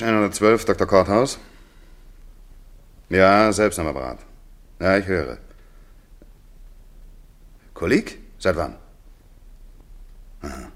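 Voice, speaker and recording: An actor playing a middle-aged village vet and father in a German radio play, measured and matter-of-fact, patient with children. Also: lowish voice, a bit bright. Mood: brisk, businesslike.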